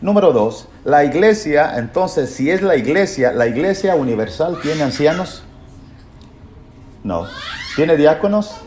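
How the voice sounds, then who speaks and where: A man's voice: on the high side, expressive, measured pace, darkish tone. Male preacher, didactic, rhetorical questions, repeated key phrases, echoey room, faint background noise. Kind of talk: Bible lesson sermon with rhetorical questions.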